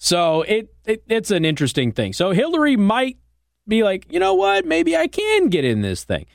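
An American man delivers a talk radio monologue with very lively, swinging intonation. His manner is conversational and sardonic, and the sound is dry and close on a studio mic. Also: high voice, normal speed, no room sound. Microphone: close studio microphone.

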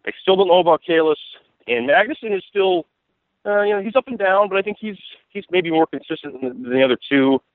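A man's voice: sits high, lively intonation, a little fast, no room sound. A man giving casual sports analysis as an interview guest, thinking aloud in a rambling, conversational way. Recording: tinny, dry phone-like radio line.

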